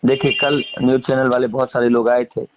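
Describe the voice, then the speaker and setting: A man's voice: medium pitch, level tone, a little fast, highs cut off, noisy background. A man with a Bihari accent speaking casually as an interviewee, emphatically airing grievances in colloquial, repetitive phrasing. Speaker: interviewee, singer and stage performer.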